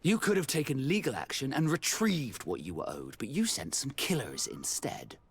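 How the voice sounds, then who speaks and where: A man's voice: medium pitch, big pitch swings, normal speed, slightly rough, no room sound, exaggerated voice. A man playing a proud, blunt, tough sea captain in a video game. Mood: stern.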